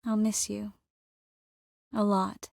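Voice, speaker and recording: Casting: young game sorceress, medium register, wry and self-assured with soft intimate murmurs, very dry recording. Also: female voice, mid-range voice, little pitch movement, takes it slowly, creaky, no echo. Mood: tender, wistful. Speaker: voice actor in a video game. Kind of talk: scripted game character dialogue. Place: close studio recording.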